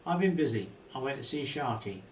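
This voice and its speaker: A man's voice: medium pitch, sing-song, a little fast, lightly acted. A man voicing a kindly old fisherman grandfather in a storybook, speaking plainly in homely dialect. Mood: evasive. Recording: distant mic, roomy echo, faint hiss.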